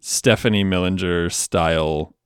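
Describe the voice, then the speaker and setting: A man's voice: deep voice, fairly even intonation, measured pace, clean tone, no echo, clean studio sound. A man co-hosting a podcast in casual, conversational chat. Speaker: podcast co-host.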